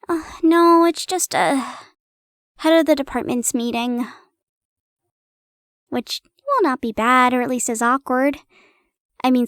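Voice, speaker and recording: A woman's voice: high-pitched, no room sound, clean studio sound. A young woman in an intimate audio roleplay, chatting playfully to the listener after reading aloud. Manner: playful, giggly, pleading.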